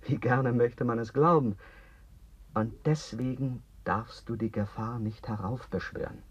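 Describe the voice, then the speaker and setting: A man acting an anxious, philosophising character in a German radio play, declamatory and rough-edged. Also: medium pitch, a little slow, muffled recording. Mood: urgent, insistent.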